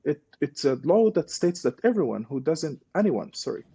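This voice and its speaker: A man's voice: a little high, big pitch swings, normal speed, dark tone, slightly rough. A man from Gaza giving personal testimony as a podcast guest, explaining as he goes and putting questions to himself. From Gaza, Palestine. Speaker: guest, peace initiative leader.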